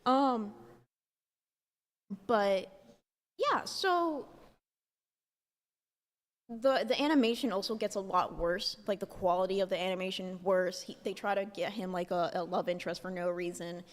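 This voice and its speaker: A woman's voice: medium pitch, a little slow, long pauses. A woman hosting a casual talk show, rambling along with many "like"s and "um"s. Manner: rambling, filler-heavy, lots of "like" and "um". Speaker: podcast host. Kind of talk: casual chat. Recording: long hall reverb.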